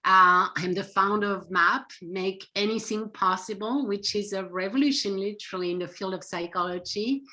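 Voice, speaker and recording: French-accented woman guest, middle-aged, bright forceful tone, emphatic and earnest, explains her method with steady conviction. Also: medium pitch, normal speed, hardly any pauses. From France. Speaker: psychotherapist guest. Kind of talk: interview answers.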